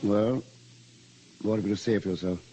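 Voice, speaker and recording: An actor playing an anxious, stern English boarding school housemaster, with a British accent and formal, measured wording, in old radio drama style. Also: male voice, fairly low pitch, steady tone, warm tone, slight background noise, natural voice. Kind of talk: old-time radio drama acting and first-person narration.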